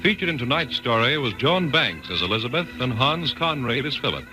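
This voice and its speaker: A man's voice: mid-range voice, sing-song, brisk, poor audio. A man announcing credits in a scripted 1940s radio style. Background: organ music.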